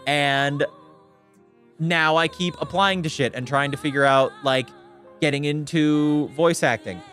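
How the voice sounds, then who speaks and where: Clear-voiced man chatting on stream. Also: fairly high pitch, a little slow, a bit bright, soft background music. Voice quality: clear voice without gravel. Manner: rambling, candid, swears freely. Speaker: VTuber streamer. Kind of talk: casual livestream chat.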